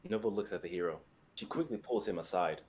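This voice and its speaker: A man's voice: sits low, fast, a little mellow. A young man reading scripted dramatic fantasy narration for a livestream. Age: young adult.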